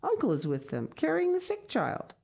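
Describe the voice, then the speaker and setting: A woman's voice: high voice, wildly swooping pitch, normal speed, vocal fry, dark tone, voice pushed up, heavily acted. A woman voicing a peasant mother who hurriedly reports news.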